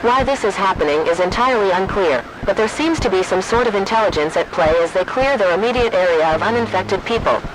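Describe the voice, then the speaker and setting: A man's voice: sits high, animated, fast, hardly any pauses. A man voicing an impersonal emergency alert system, reading formal, stilted official warnings over noisy broadcast audio. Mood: official, grave. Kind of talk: scripted emergency broadcast announcement.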